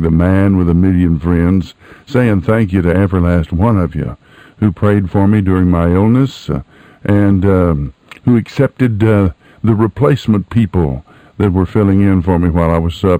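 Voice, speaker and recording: Deep, mellow older male radio host. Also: normal speed, no echo, poor audio. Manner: folksy, rambling, hesitant. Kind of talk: casual unscripted radio chat.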